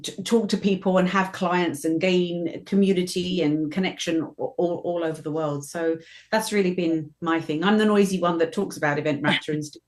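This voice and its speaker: A British woman in her fifties, a professional voiceover artist, answering interview questions in a lively, chatty way with frequent restarts. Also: on the low side, moderate pace. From Britain.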